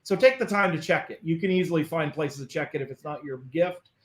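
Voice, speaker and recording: A man's voice: on the high side, a little fast. Earnest man with echoey sound. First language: English. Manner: earnest, repeats words when starting sentences. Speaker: guest. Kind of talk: casual interview chat.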